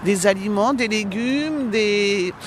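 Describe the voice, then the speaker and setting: A woman's voice: medium pitch, takes it slowly. Frenchwoman answering over street noise. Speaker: street interviewee. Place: outdoors, traffic behind. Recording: noisy outdoor street recording.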